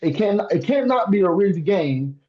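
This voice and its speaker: Podcast co-host, male, heated and animated, mellow timbre, muffled recording. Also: high voice, normal speed. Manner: heated, emphatic, laughs while ranting. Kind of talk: casual podcast discussion.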